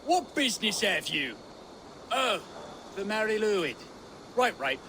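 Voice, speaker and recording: An actor playing a jovial village householder, hearty and singsong, in a video game. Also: male voice, high voice, sing-song, slow, gravelly, pushed and bright, a little hiss or hum, exaggerated voice, low-quality recording. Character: jovial village householder man trading festive rhymes. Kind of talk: video game dialogue acting.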